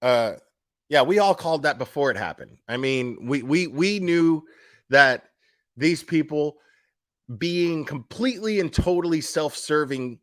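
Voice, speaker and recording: A man's voice: fairly high pitch, expressive, a little slow. A man giving casual, opinionated commentary as a streamer, speaking in a blunt, emphatic, foul-mouthed manner.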